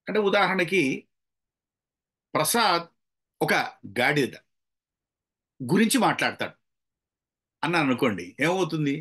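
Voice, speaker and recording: A man's voice: a little high, a bit bright. A man giving an instructional public speaking talk, explaining with examples and putting questions to his listeners.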